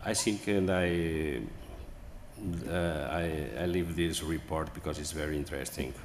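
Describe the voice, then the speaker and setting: Slow, halting man in an echoey hall. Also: rumbling low, fairly even intonation. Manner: halting, many fillers and restarts. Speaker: conference speaker. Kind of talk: hesitant presentation talk. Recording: echoey hall, faint room noise.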